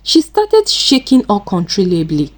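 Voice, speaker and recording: Slow, expressive woman storyteller. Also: mid-range voice. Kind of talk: scripted narration of a folktale.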